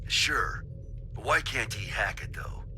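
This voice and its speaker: An actor playing a gruff, cynical, blunt fighter with a gravelly voice in an anime game cutscene. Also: male voice, fairly low pitch, slow, bright, forceful tone, slight background noise, full character voice. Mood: gruff, pondering. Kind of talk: English-dubbed anime game cutscene acting.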